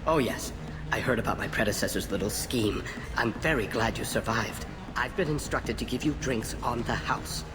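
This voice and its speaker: A man's voice: mid-range voice, moderate pace, natural voice. Courteous male bartender, acted by a man, formal and obliging, game dialogue, long hall reverb, music behind. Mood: polite, obliging. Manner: courteous, formal service talk.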